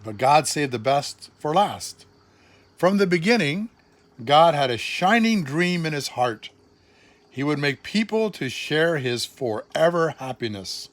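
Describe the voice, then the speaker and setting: An older man, a grandfather, reading a children's storybook aloud with dramatic, deliberate, expressive phrasing. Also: fairly high pitch.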